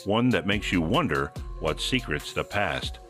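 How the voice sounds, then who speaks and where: A man's voice: sits low, lively intonation, a little slow, music in the background. A man hosting a podcast, reading scripted mystery narration in a suspenseful, theatrical manner. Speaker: podcast host.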